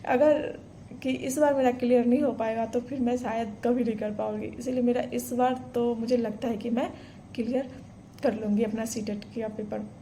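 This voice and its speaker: A woman's voice: on the high side, steady tone, normal speed, dark tone, slight background noise. A woman, earnestly telling her own exam story to camera, full of fillers and restarts. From Bihar, India. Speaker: vlogger. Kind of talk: casual personal story to camera.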